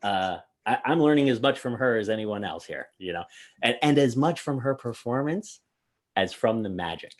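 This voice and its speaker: A man's voice: mid-range voice, animated, normal speed. A man co-hosting a magic review show, chatting casually and rambling through anecdotes with many restarts. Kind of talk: casual panel chat.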